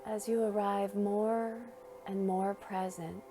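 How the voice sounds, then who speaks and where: A woman narrating a guided meditation in a gentle, soothing, unhurried manner, leaving long pauses between phrases. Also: mid-range voice, little pitch movement, soft background music.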